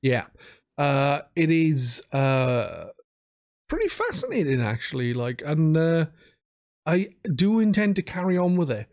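A man's voice: fairly high pitch, huge pitch swings, measured pace, a little mellow. A man chatting casually as a podcast host about video games, informal and self-deprecating.

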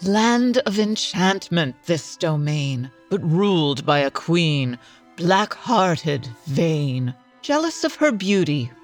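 A woman's voice: lowish voice, big pitch swings, takes it slowly, soft background music. A woman as announcer, giving theatrical storybook narration in the manner of old-time radio.

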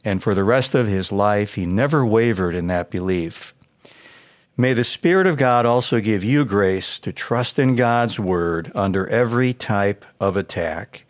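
An American man, a pastor, reading a scripted devotional in a measured, earnest manner. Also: on the low side, lively intonation, no echo.

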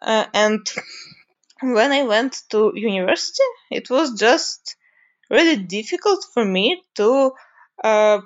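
A young woman from Ukraine with a Ukrainian accent, a native Russian speaker, giving an unscripted monologue with frequent hesitant pauses while she searches for words. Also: on the high side, lively intonation. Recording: dull lo-fi recording.

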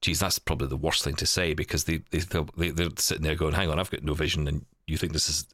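A man with a very low-pitched voice talks in a dry, close recording. He is a podcast co-host in reflective, casual chat, carefully qualifying his points and rephrasing as he goes. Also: steady tone, quick pace, fairly bright tone, no echo. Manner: hedging, careful, self-correcting.

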